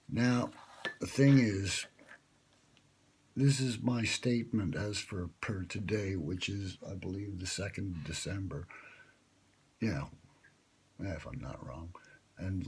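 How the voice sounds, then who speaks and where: A man's voice: deep voice, darkish tone, slight background noise. A man talking in a rambling, wry and self-mocking way, with many fillers, recorded close on a phone. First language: English. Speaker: vlogger pitching a radio show. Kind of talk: rambling late-night monologue. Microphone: smartphone held close.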